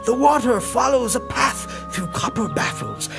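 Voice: medium pitch, sing-song, unhurried. An actor playing a grave, proud guide to an ancient tower in an audio comic drama. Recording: music clearly underneath.

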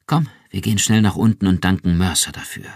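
A man's voice: low voice, expressive, slow, dry recording, clean studio sound, exaggerated voice. A man voicing an earnest young wife who pleads insistently and fervently.

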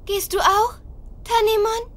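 A woman playing a tiny, excitable child-like creature, calling out urgently in a German children's audio drama. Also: very high-pitched, a little slow. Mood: agitated. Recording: loud music under the voice.

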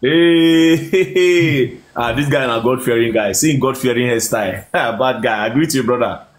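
A man's voice: mid-range voice, normal speed. A Nigerian man with a Nigerian accent hosting a phone live stream, teasing his guests in pidgin and laughing often. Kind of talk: pidgin casual chat. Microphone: phone camera.

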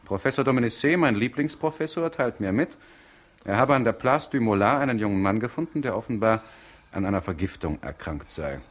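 Witty, theatrical doctor, very lively intonation. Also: male voice, lowish voice, a little fast. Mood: pensive. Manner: flowery, mocking, theatrical speech. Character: witty, ironic hospital doctor teasing his pupil. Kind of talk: 1960s German-language radio crime drama acting.

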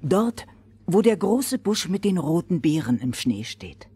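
Low, unhurried wise woman. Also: very animated, warm tone, slightly rough, dry recording, full character voice. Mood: solemn, knowing. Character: wise, calm Finnish woman with magical knowledge. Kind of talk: fairy-tale audio drama.

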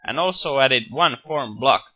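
Bright-voiced man narrating a software tutorial. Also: medium pitch, animated, a little slow, husky, highs cut off. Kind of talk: screencast walkthrough narration.

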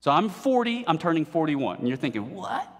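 A man in his forties delivers a lively sermon from a church pulpit, often stopping to quiz the congregation. His voice sits a bit high for a man. It carries the long reverb of a hall. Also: a little fast, soft background music. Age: 40s. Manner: animated, playful, asks the congregation questions. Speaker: pastor. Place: church stage at a pulpit, indoors.

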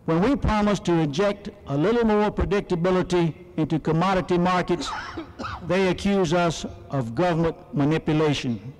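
A man's voice: high voice, moderate pace, darkish tone. A man with an American Midwestern accent giving a folksy, wry political speech at a convention, on an old archival recording. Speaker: convention speaker. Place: large convention hall. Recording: 1970s archival recording in a large hall.

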